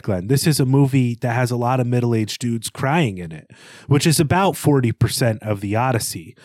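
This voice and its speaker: A man's voice: on the low side, a little mellow, no room sound. A man co-hosting a podcast, discussing film in a casual, reflective and earnest way. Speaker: podcast co-host.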